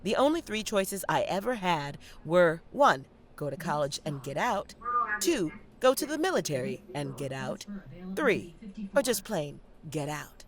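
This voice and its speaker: A woman's voice: lowish voice, very animated, a little slow. A young woman with an American accent acting a savvy theater student in an audio play, sardonic and theatrical as she talks to the audience. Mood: candid, proud. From Detroit, United States. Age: young adult. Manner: theatrical, sardonic, swinging between confiding and performing. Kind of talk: dramatic monologue to the audience.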